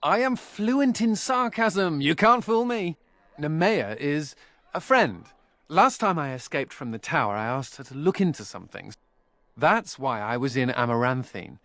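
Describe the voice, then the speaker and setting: Wry fugitive mage, dry sound. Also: male voice, on the high side, sing-song, unhurried, a bit bright, no echo, heavily acted. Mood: wry, uneasy. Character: wry fugitive male mage, flippant yet guarded. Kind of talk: video game voice acting.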